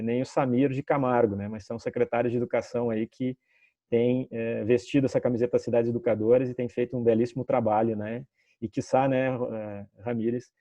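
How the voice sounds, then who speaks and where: A man's voice: mid-range voice, dark tone, no echo. A man from southern Brazil on a video call, giving formal greetings and rambling with many filler tags and restarts. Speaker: city education representative. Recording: dull lo-fi call audio.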